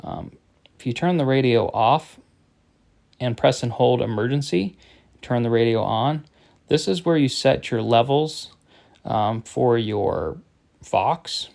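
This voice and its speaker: A man demonstrates a product in an informal, step-by-step tutorial. His mid-pitched voice has plenty of vocal fry, and he explains things in a halting, matter-of-fact manner. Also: lively intonation, darkish tone.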